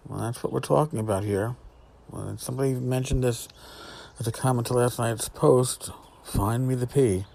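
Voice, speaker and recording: A man's voice: medium pitch, gravelly, dark tone. A man hosting a casual solo podcast from a back porch outdoors, talking in a blunt, wry, salty way. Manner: blunt, profane, wry commentary. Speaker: podcast host.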